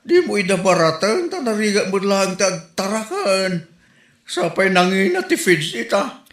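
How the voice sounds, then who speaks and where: A man's voice: high voice, sing-song, moderate pace, a bit bright. A man with a Filipino accent playing an older village father who admonishes his daughter in an audio drama. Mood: admonishing. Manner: admonishing, paternal. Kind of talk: amateur audio drama dialogue. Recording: roomy echo.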